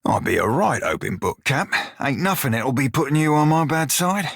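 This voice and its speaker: An actor playing a cocky, bawdy furry in a video game, with a sly, wry, drawling manner. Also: male voice, mid-range voice, lively intonation, normal speed, vocal fry, fairly bright tone, slightly rough, no echo, studio quality, full character voice. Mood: wry, plainspoken. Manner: salty, drawling pirate dialect, sly and wry. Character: bawdy, roguish furry orlan pirate shiphunter, loyal and cocky. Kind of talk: video game character dialogue.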